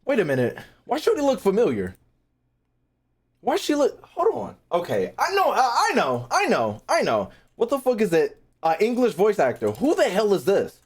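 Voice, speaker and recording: A man with an American accent hosts a casual stream in a fairly high voice. His pitch jumps around a great deal as he talks. He banters brashly with his viewers, curses often and repeats phrases to drive them home. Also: wildly swooping pitch, normal speed, slightly rough. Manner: brash, heavily swearing banter. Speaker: streamer hosting a reaction video. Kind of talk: casual livestream chat to viewers.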